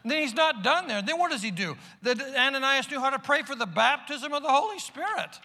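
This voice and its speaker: A man preaching a sermon as pastor, moving from loud emphatic calls to quiet, hushed asides. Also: very high-pitched, animated, fairly quick. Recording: long reverb of a large hall.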